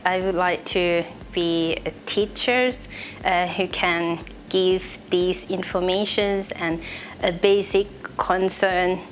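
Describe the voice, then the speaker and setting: A woman's voice: fairly low pitch, fairly even intonation, slow, slight background noise, low-quality recording. A young woman from Laos with a Lao accent, answering interview questions in a hesitant, conversational way. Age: young adult. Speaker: interviewee, trainee. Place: indoor office room. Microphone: lapel.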